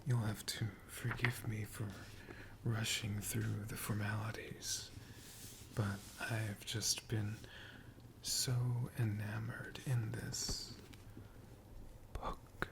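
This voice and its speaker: A man's voice: fairly low pitch, level tone, vocal fry, rough recording. A man voicing a friendly, humble, bookish dragon in a whispered ASMR storytelling roleplay. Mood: hushed, friendly. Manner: soft whispering, slow and unhurried.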